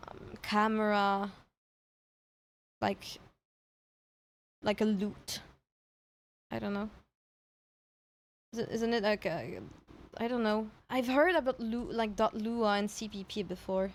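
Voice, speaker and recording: A woman chatting casually on a livestream, hesitant and thinking aloud as she repeats herself. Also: medium pitch. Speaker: streamer reacting to horror videos.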